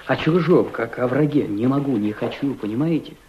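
A man's voice: mid-range voice, normal speed, close to an everyday voice. An actor playing an earnest, lovestruck, homesick Russian man in a radio play, hesitant and pleading. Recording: dull, hissy old radio drama recording.

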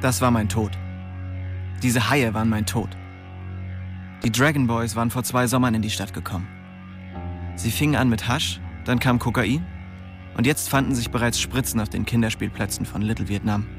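An actor as a brooding young crime narrator. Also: male voice, medium pitch, fairly even intonation, normal speed, pauses often, over music, natural voice. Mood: brooding, resigned. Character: weary, bitter young man narrating his own crime story. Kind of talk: scripted first-person radio drama narration.

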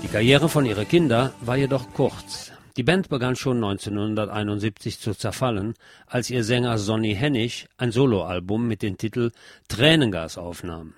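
A man reading a scripted documentary narration in a measured, factual way. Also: on the low side, no room sound.

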